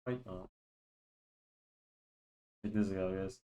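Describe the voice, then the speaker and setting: A young man with a lowish voice talks loosely as he draws, looping back through restarts and fillers. He has a cold and sounds a bit nasal and stuffy, recorded on a desk microphone. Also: fairly even intonation, slow, dark tone. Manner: rambling, lots of restarts and fillers. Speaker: drawing channel host. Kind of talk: casual chat while drawing.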